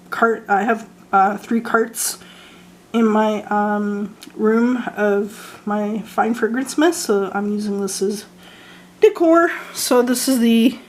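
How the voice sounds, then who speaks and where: A young woman from Canada chatting casually about her fragrance purchases, hoarse and losing her voice, hesitant with many ums and frequent throat clearing. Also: fairly high pitch. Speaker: vlogger showing fragrance purchases. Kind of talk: casual haul chat.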